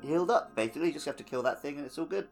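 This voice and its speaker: A man's voice: on the high side, rapid-fire, faint music behind. A man in casual gaming podcast chat, informal and musing, as if telling anecdotes between friends. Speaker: podcast co-host; teacher.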